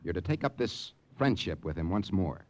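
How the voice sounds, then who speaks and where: A man's voice: deep voice, lively intonation, normal speed, slight background noise. An actor playing a hard-nosed American intelligence man who narrates a wartime mission in 1950s radio drama style. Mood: firm, pressing. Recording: old radio drama with music behind.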